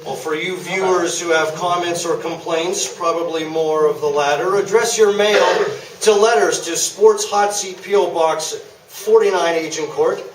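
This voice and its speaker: Guy doing tv sports show host, dry digs, high voice, live radio play in echoey theatre, mic far off. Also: fairly even intonation, normal speed, a little hiss or hum, natural voice. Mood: wry. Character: needling male TV sports talk show host. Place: theatre stage before an audience.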